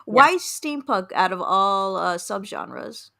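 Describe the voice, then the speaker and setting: A woman's voice: mid-range voice, expressive, slow pace, creaky, bright, forceful tone. A woman hosting a casual interview, chatting informally and reacting with exclamations. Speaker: interviewer, show host.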